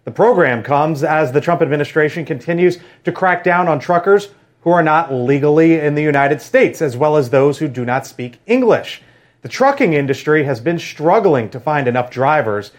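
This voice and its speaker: Brief: male anchor, crisp and measured, fairly high voice. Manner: crisp, measured newsreader delivery. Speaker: news anchor. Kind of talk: scripted news read.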